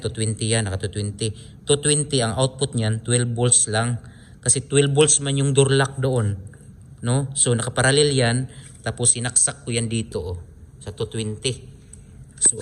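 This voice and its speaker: A man's voice: mid-range voice, slight background noise. A man with a Filipino accent giving an informal hands-on tutorial, explaining step by step and often repeating himself as he points things out. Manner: repetitive, step-by-step explaining with frequent pointing phrases. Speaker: DIY electronics tutorial host. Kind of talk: informal hands-on wiring demonstration.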